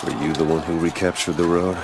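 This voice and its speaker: A man playing a stoic, dutiful warrior with a terse, steady manner in a video game. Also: low voice, quick pace. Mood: businesslike. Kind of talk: video game cutscene acting. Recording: very noisy game audio.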